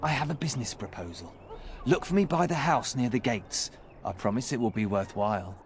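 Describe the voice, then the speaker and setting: Actor as a sly dealmaker with swooping intonation. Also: male voice, medium pitch, lively intonation, fairly quick, slight background noise, lightly acted. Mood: courteous, enticing. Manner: smooth-talking, formal, insinuating. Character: sly, courteous male shady dealmaker. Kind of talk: video game character dialogue.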